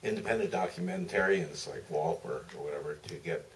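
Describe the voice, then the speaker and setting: A man's voice: low-pitched, a little fast. An older man, a film director, telling anecdotes at a live audience Q&A in a theater. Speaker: documentary director, panel guest. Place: museum theater. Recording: distant, noisy auditorium pickup.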